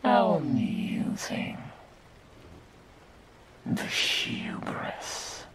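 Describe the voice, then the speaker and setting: Woman acting a slow, whispering, sinister demon girl. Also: very deep voice, huge pitch swings, exaggerated voice. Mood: mocking, menacing. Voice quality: breathy whisper, mostly unvoiced. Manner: slow, mocking, condescending, theatrical delivery. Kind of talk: whispered ASMR roleplay. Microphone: close binaural microphone.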